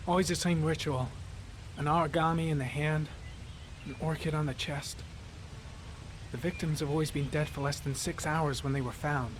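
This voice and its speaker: A man's voice: on the high side, steady tone, moderate pace, noisy background, lightly acted. A man voicing a weary, driven male FBI profiler who delivers case facts in a clipped, matter-of-fact way. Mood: clinical. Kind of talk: voicing a game character.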